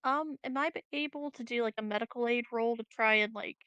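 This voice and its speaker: A woman's voice: on the high side, fairly quick, fairly bright tone, clear, resonant tone, no echo, muffled recording. A woman chatting casually as a player in a tabletop roleplaying game. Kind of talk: casual chat during a game.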